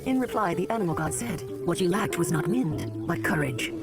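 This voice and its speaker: Low storybook narrator over music. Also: female voice, animated, moderate pace, gravelly. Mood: solemn, storytelling. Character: storybook narrator telling a solemn fable. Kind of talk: scripted fairy-tale narration. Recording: loud music under the voice.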